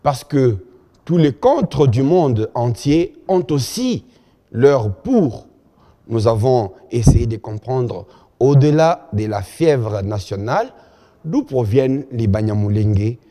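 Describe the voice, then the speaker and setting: A man hosting a studio interview, measured and full of hesitations. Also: mid-range voice, animated, warm tone, big-room sound. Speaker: TV talk show host.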